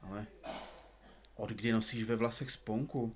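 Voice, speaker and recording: A man's voice: fairly low pitch, fairly even intonation, slightly rough. A man playing a pompous, absent-minded detective in an audio comedy drama, speaking in florid, formal, bookish phrases. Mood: pompous, fussy.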